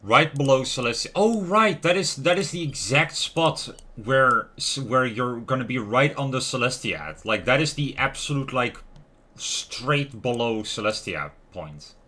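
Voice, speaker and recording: A man's voice: medium pitch, animated, measured pace, pushed and bright. A man livestreaming a video game in casual commentary, musing aloud and often trailing off to question himself. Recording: music playing behind the voice. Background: lo-fi music, keyboard typing and clicks.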